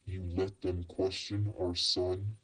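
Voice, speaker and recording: Man acting a husky, coldly menacing father. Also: low-pitched, little pitch movement, takes it slowly, fairly bright tone, exaggerated voice. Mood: cold, biting. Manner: smooth-talking, icily polite, biting. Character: cold, menacing, possessive father with villainous air. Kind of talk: acted fan fiction audio drama.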